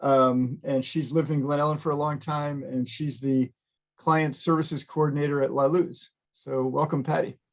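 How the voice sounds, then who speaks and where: A man runs a community advisory meeting over a video call, his voice sitting a touch high for a man. He talks haltingly, with many fillers and restarts. The sound is ordinary video call audio. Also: flat delivery. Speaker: meeting chair. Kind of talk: virtual meeting chairing.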